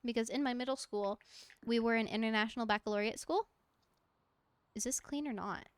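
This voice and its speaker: Young woman streamer rambling n giggling, kinda high voice, dry close sound. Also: brisk, clean tone, dry recording. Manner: rambling and giggly, talks to game objects. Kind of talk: casual chat while gaming.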